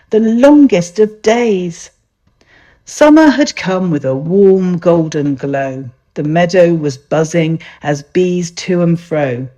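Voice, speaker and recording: A woman reading a rhyming children's picture book aloud in a gentle, expressive storytelling manner. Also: fairly low pitch.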